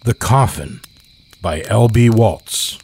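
A man narrating a scripted horror story dramatically, with deliberate, suspenseful phrasing. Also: lowish voice, creaky, darkish tone, a little hiss or hum. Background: crickets and insect ambience.

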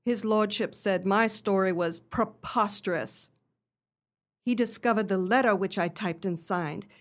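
A woman's voice: mid-range voice, measured pace, natural voice. A woman playing a wronged countess in a radio play, speaking with formal, earnest dignity.

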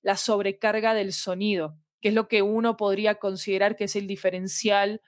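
A woman's voice: medium pitch, little pitch movement, normal speed, dry recording, clean studio sound. Articulate woman explaining in conversation. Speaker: interview guest, fiction podcast specialist. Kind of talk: conversational discussion.

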